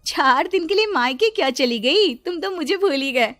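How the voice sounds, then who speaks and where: A woman voicing a sweetly insistent, unsettling wife in a horror cartoon story, with a deliberate, uncanny manner. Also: sits high, animated, fairly bright tone, dry recording, faint music behind. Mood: sweetly reproachful.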